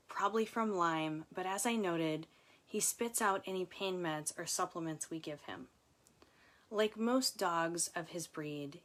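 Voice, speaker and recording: A woman author reading and chatting casually to viewers, with informal, chatty asides. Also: on the low side. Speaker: author reading from her book. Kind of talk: casual livestream book reading and chat.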